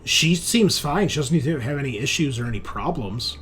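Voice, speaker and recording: A man working as game master, improvising narration for a tabletop game in halting, piecemeal descriptions. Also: mid-range voice, expressive, fairly bright tone. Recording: clear background noise with ambience.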